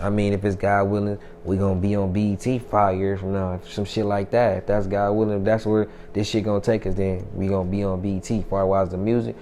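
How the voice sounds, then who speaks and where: A man's voice: on the low side, a little mellow, slight background noise. A man in his twenties, a rapper, talking loosely and boastfully in a casual interview, with plenty of profanity. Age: 20s. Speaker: rapper guest.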